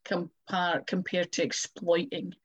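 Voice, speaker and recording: A woman's voice: on the low side, level tone, slow pace, breathy edge. A Scottish woman and wrestler, chatting casually and acting out little quoted exchanges. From Scotland. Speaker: wrestler and podcast guest. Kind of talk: casual chat.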